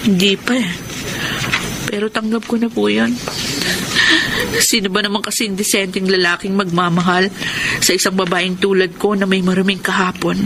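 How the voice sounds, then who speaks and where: An actress playing a heartbroken young woman in a Filipino radio drama, speaking with sorrowful, earnest feeling and dramatic pauses. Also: mid-range voice, level tone, background noise, natural voice. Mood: sad, pleading. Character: heartbroken young woman, ashamed of her past. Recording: music bed under the voice.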